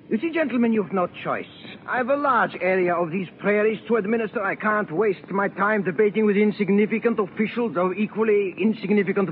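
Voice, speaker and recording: An actor playing a condescending, coolly threatening Nazi occupation officer in a 1940s radio drama. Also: male voice, high-pitched, natural voice. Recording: tinny, noisy 1940s radio recording.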